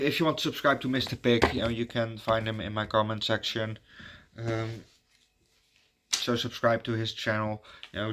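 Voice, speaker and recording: A Dutch man, native Dutch speaker, hosting his channel in halting casual chat full of fillers and restarts. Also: medium pitch, sharp tone. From Netherlands. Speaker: YouTube reviewer giving shout-outs.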